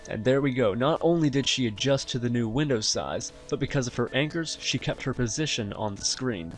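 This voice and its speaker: A man hosting a software tutorial, explaining each step in an instructional way. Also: medium pitch, lively intonation, brisk, faint music behind. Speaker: tutorial host. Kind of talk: software tutorial narration over screen recording.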